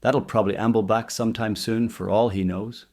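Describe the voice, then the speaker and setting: A man's voice: fairly low pitch, steady tone, a little fast, darkish tone. A man playing a loyal, plain-spoken Irish convict servant in a dramatised history podcast. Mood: confiding.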